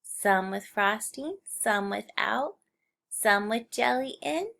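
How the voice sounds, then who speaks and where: A woman teacher reading a picture book aloud to young children in an expressive storytelling style. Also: a little high, slow, creaky, slight background noise.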